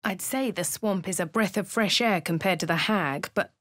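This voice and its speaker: An actress with a posh English accent voicing a composed young female adventurer in a game, crisp and clipped. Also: mid-range voice, normal speed, fairly bright tone, no room sound, studio quality, natural voice. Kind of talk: game voice lines and narration.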